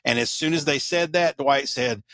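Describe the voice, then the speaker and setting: A man's voice: on the high side, normal speed, a bit bright, dry recording, clean studio sound. Man telling a story. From Eastern Kentucky. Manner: earnest, enthusiastic, repeats himself. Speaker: podcast co-host. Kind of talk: casual storytelling chat.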